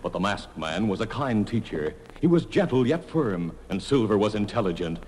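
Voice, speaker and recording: A man's voice: fairly low pitch, big pitch swings, moderate pace, a little mellow, a little hiss or hum, low-quality recording. A man narrating an old-time radio drama in a dramatic, scripted style. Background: dramatic music.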